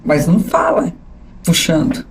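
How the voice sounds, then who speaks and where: A woman's voice: deep voice, measured pace, slight background noise. Chatty São Paulo woman in her sixties. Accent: São Paulo capital Portuguese. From São Paulo state. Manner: animated, often tags "né?". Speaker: guest, journalist. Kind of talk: conversational interview storytelling.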